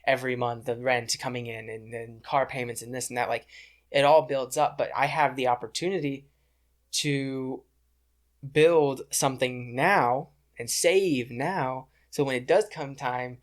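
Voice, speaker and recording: A young adult man speaks as a guest on a casual interview podcast. He is talkative and earnest, with a medium-pitched voice. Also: steady tone, a little slow.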